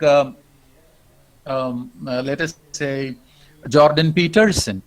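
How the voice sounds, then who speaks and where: A middle-aged man with an Indian English accent, giving a reflective lecture as a professor and pausing often on "um" and "uh". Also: sits high, rough recording. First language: not English. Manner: reflective, with frequent "um" and "uh" pauses.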